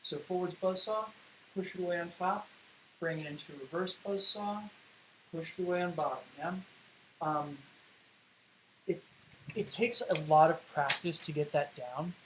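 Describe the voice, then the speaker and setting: A man's voice: fairly high pitch, unhurried, pauses often, a bit bright, rough recording. A man losing his voice, hosting a livestream Q&A and teaching poi spinning in a chatty, rambling way full of fillers. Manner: chatty, rambling explanations with many fillers and restarts. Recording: home livestream with faint hiss.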